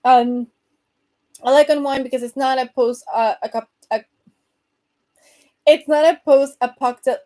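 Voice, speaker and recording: A young woman from Venezuela speaks with a Venezuelan accent and a warm, soft tone that sits a little high. She chats loosely with her viewers, reading their comments aloud, with lots of fillers and laughs. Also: steady tone, moderate pace, pauses often. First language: Spanish. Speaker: host. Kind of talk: casual livestream chat.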